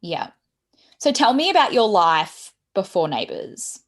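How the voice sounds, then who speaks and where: A woman's voice: mid-range voice, sing-song, unhurried. A woman hosting a podcast, introducing her guest and asking questions in a casual chat. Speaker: podcast host.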